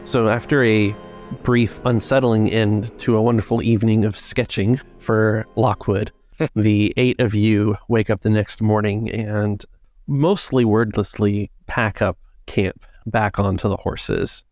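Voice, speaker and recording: A man's voice: fairly low pitch, fairly even intonation, a little slow, a little mellow, no echo, soft background music. A man acting as game master, narrating scenes and refereeing a tabletop role-playing game.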